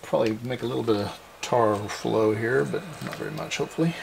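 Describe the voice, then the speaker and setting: A man gives a hands-on repair demonstration, explaining informally and methodically step by step in a creaky voice. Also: mid-range voice, fairly quick, background noise. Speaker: host of a radio restoration series.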